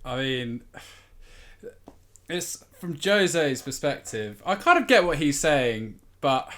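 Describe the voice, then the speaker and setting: A man with a slightly higher than average voice talks football as a podcast guest. He offers his opinions in an informal, conversational style. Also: very animated, slow pace, a bit bright. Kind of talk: casual football chat.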